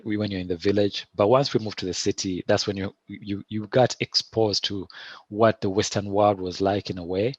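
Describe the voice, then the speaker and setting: A middle-aged man with a Ugandan accent, answering interview questions in a thoughtful, halting way with many restarts. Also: fairly low pitch, dry recording, lo-fi sound. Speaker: filmmaker guest.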